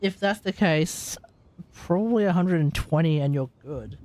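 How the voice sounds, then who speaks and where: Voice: medium pitch, moderate pace, darkish tone. A game livestreamer chatting casually and thinking aloud in an informal, conversational way. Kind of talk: casual live stream commentary and chat.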